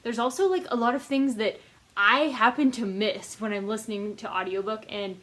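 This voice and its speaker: A woman giving a casual, chatty book review as host of her own channel, with an animated, emphatic way of talking. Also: fairly high pitch, normal speed. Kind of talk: casual scripted-loose book review to camera.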